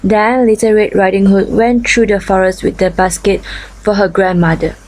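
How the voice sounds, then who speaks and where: Female storyteller, medium pitch, children's tale, halting narration. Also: steady tone, a little mellow, low-quality recording.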